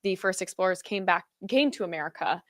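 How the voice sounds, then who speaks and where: A woman's voice: on the low side, lively intonation, a little fast, no echo, clean studio sound. A woman hosting an interview podcast, asking thoughtful questions and affirming her guest. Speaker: podcast host.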